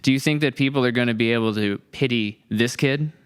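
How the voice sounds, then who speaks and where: A man's voice: medium pitch, a little fast, clean tone. A man hosting a casual podcast interview in an enthusiastic, joking manner. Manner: enthusiastic, jokey. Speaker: podcast host.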